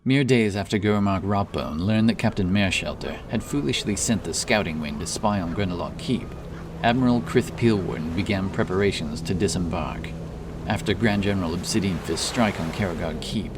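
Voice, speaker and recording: Casting: male epic fantasy narrator, low voice, deliberate and dramatic, set back from the mic under loud music. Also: far from the mic, noisy background. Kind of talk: scripted fantasy audiobook narration.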